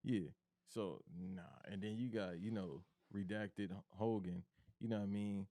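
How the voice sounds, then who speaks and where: A man with a very low, bass-heavy voice, talking wrestling on a podcast. He speaks in an animated, emphatic, slangy way. The sound is close and dry, with no room around it. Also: measured pace, no room sound. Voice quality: deep bass voice. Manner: animated, emphatic, slangy, calls his cohost bro. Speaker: podcast co-host. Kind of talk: casual wrestling chat.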